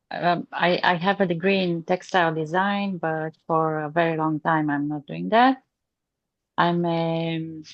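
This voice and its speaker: A woman speaks at a very slow, halting pace, pausing on fillers as she introduces herself. Her slightly low voice comes through dull, lo-fi video call audio. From Bulgaria. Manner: hesitant, many fillers, slow.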